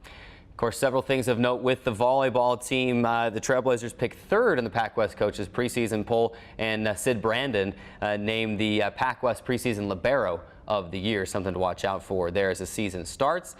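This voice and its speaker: A man's voice: on the low side, fairly even intonation, moderate pace. A man with an American accent reading scripted sports news as a show host.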